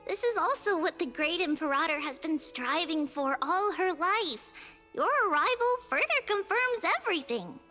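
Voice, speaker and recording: A woman's voice: very high voice, animated, normal speed, bright, forceful tone, soft background music, exaggerated voice. An actress playing a small, childlike girl seer in a fantasy game, caring and old-souled, speaking politely and soothingly. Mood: earnest. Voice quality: childlike, light. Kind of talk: scripted game voice acting.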